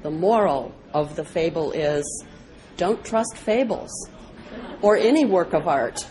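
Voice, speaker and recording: A woman with a fairly low voice reads stories to a live audience. She speaks slowly and deliberately, as a storyteller would. There is faint noise in the recording. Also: lively intonation, warm tone, poor audio. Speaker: reader at a public read-aloud. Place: live reading event before an audience.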